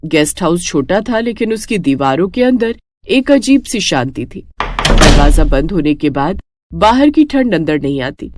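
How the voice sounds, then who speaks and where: A woman's voice: lowish voice, very animated, measured pace, darkish tone. A woman narrating a scripted cartoon story as its storyteller.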